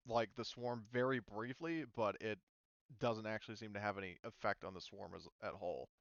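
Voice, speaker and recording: Clear-voiced man running a tabletop game. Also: fairly low pitch, fairly quick, dry recording, muffled recording. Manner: hesitant, thinking aloud. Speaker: game master. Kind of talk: casual tabletop game narration and rules explanation.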